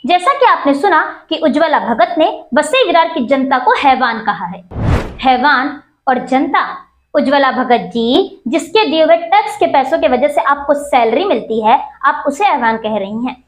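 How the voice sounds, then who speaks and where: Female news commentator, high-pitched, accusatory and rhetorical delivery, reverberant sound. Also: very animated, moderate pace. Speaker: news reporter and presenter. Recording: roomy echo on the voice.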